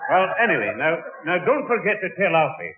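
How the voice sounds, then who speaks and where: Blustering old British grandfather, swooping pitch. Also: big pitch swings, fairly quick, very noisy. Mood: bossy. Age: older. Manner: fussy, scolding, blustering. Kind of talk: 1960s radio sitcom acting. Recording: tinny old radio broadcast recording.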